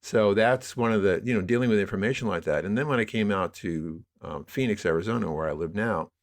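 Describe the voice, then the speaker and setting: An older American man talking reflectively as an interview guest, in a halting, rambling way that circles back on his phrases. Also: fairly low pitch. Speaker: interview guest, media producer.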